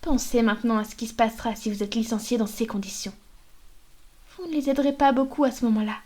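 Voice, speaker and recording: Mellow, high-voiced haughty vampire mistress, acted by a woman. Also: steady tone, natural voice. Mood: veiled menace. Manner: poised, formal, condescending, deliberate. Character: haughty, menacing female vampire mistress of a noble house. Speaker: ASMR roleplay performer. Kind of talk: scripted ASMR roleplay.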